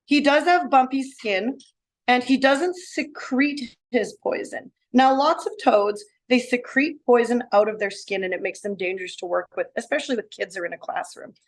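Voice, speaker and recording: Slightly hoarse woman teaching kids. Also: fairly high pitch, normal speed, low-quality recording. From Canada. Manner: animated, explanatory, talks to young children. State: slightly hoarse, lost her voice a little. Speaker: science educator. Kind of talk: classroom animal presentation. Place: elementary school classroom, indoors.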